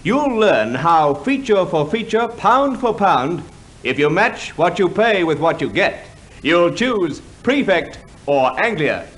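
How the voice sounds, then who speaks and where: Animated high-pitched male 1950s radio announcer. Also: takes it slowly, noisy background. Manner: polished, persuasive salesman delivery. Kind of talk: 1950s scripted radio advertising.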